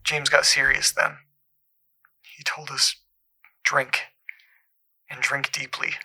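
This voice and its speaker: An actor playing a troubled caller in an audio drama, haltingly and earnestly recounting an eerie supernatural memory. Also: male voice, medium pitch, little pitch movement, creaky, sharp tone, breathy edge, full character voice. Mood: troubled, tense.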